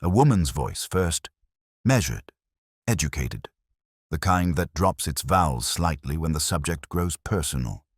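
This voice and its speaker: A man playing an ageing, weary police detective, narrating an audio drama in a measured, literary, wry and reflective way. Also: rumbling low, lively intonation, breathy edge, no room sound, studio quality, full character voice.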